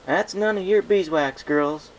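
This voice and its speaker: A man's voice: high-pitched, unhurried, voice pushed up. A man voicing a protective big-sister pony, a direct, folksy country mare, reading a story. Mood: firm scolding. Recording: noisy home recording.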